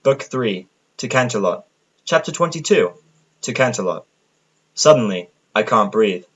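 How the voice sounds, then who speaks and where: A man's voice: medium pitch, expressive, a little slow, slightly rough, lo-fi sound. A man narrating scripted first-person fiction as the storyteller of an audio drama.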